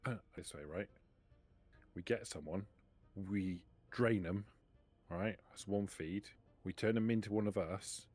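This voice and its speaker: A man plays a tabletop roleplaying game. His voice is very low-pitched. He talks casually in character with the others at the table. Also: unhurried, a little mellow, soft background music.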